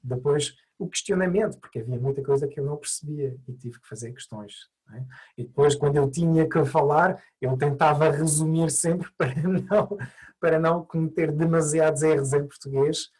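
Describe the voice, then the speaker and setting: A man's voice: fairly high pitch, a little fast, darkish tone, husky, rough recording. A man who learned Portuguese as an adult, by birth, answering interview questions thoughtfully with many hesitations and restarts. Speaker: mediator, interview guest.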